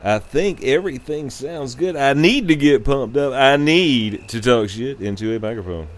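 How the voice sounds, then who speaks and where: A man hosting a podcast, talking casually on his own in a halting, rambling way. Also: mid-range voice, expressive. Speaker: podcast host. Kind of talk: casual solo podcast talk.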